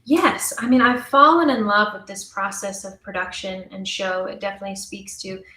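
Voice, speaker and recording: A woman's voice: medium pitch, fairly even intonation, normal speed, bright, forceful tone. A young adult woman speaks in a roomy, echoing space. She is an interview guest, talking in a casual, earnest and enthusiastic way. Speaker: interview guest, audio drama writer and producer. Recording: roomy, echoey sound.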